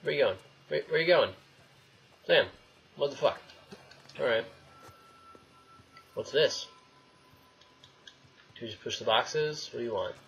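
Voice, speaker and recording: A man's voice: medium pitch, lively intonation, a little slow, creaky, pauses often, a bit bright, muffled recording. A young man giving casual, sweary let's play commentary, grumbling and talking to himself and the game. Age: young adult. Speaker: gaming video host. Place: room indoors at home. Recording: game noise behind the voice. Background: video game sounds.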